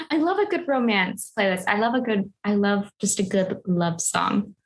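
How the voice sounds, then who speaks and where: A woman's voice: mid-range voice, expressive, a little slow, fairly bright tone. A woman talking casually on a book club podcast, enthusiastic and playful.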